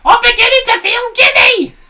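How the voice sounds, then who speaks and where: A woman's voice: normal speed, sharp tone, exaggerated voice. A woman reading aloud and voicing a nasty old woman who shrieks and screeches in hysterical panic. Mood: shrieking panic. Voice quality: shrill, screeching.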